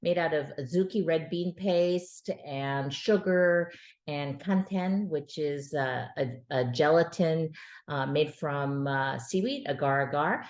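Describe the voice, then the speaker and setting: A woman's voice: low voice, slow pace, darkish tone, roomy sound. A woman talking casually with her father, explaining food terms in a chatty way with fillers. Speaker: author interviewing her father. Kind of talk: casual family conversation.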